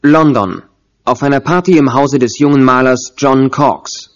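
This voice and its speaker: A man's voice: medium pitch, unhurried, muffled recording, close to an everyday voice. A man narrating a German audio drama, announcing scenes in a clipped, factual manner. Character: sober narrator giving place and time.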